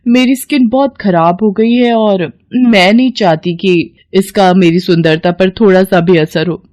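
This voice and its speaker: A woman's voice: mid-range voice, measured pace, a little mellow, close to an everyday voice. A woman voicing a vain, spiteful young daughter-in-law in a melodramatic story, haughty, complaining and theatrical. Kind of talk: melodramatic Hindi storybook acting.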